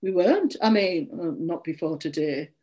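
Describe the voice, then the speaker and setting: A woman's voice: lowish voice, normal speed, dark tone, voice pushed down, close to an everyday voice. A woman voicing a nervous, apologetic teenage boy in a story, meek and hesitant, with a stammer. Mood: earnest, defensive.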